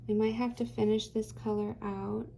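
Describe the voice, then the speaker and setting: A woman's voice: medium pitch, little pitch movement, normal speed, gravelly, warm tone, a little hiss or hum. A woman hosting a craft channel, chatting casually while she works, rambling and hesitant with many fillers, her voice tired and getting dry.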